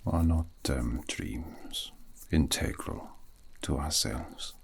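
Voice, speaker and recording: A man playing a gentle, courteous elven mage in a whispered ASMR fantasy roleplay, with breathy, husky delivery and formal, archaic, soothing phrasing. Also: very deep voice, takes it slowly, full character voice. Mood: calm, musing.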